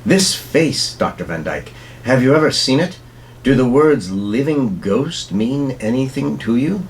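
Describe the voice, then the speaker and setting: An actor playing an earnest, alarmed young pulp hero in exclamatory radio melodrama style. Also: male voice, mid-range voice, lively intonation, measured pace, a little hiss or hum. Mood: urgent, pleading. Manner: exclamatory, theatrical.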